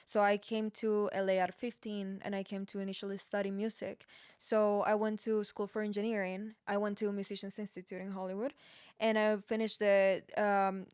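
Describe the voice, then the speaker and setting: Woman in her twenties, Peruvian Spanish accent, chatty and emphatic guest, smooth clear voice, dry close sound. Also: mid-range voice, little pitch movement, moderate pace, darkish tone, no echo. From Peru. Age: 20s. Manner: chatty, emphatic, lots of fillers. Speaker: guest musician. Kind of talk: casual conversation.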